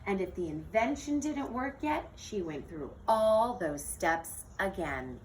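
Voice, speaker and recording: A woman teacher reading a children's picture book aloud in an expressive, theatrical storytelling manner. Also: mid-range voice, slow pace. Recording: distant mic with faint noise.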